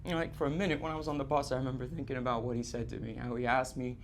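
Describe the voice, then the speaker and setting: An actor playing a distraught, guilt-ridden man on stage, confessing in halting, repetitive, stammered phrases in a live theatre monologue. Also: medium pitch, flat delivery, slight background noise, close to an everyday voice. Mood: subdued, wistful. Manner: halting, stammering, repeating himself.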